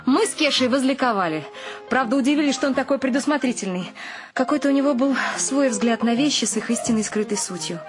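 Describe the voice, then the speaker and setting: An actress playing an expressive middle-aged mother who tells the story of her family in a radio play. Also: high-pitched, fast, natural voice. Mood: fond reminiscing. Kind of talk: radio play narration and acting. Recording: music under the voice.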